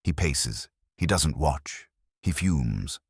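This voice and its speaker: A man's voice: a bass voice, expressive, takes it slowly, gravelly, breathy edge, dry recording, studio quality, full character voice. A man voicing a terse, observant private investigator in a mystery audiobook, clipped and factual. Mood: assessing.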